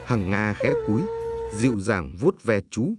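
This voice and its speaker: Male narrator, fairly low pitch, fairy-tale storytelling, scripted reading, loud music behind. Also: lively intonation, measured pace. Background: prominent background music.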